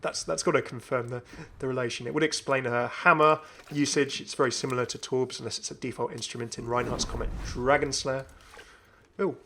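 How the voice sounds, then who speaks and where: A man's voice: mid-range voice, fairly even intonation, brisk, a bit bright, a little hiss or hum. A man hosting a gaming video, commenting casually and enthusiastically as he thinks aloud. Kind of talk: casual game update commentary.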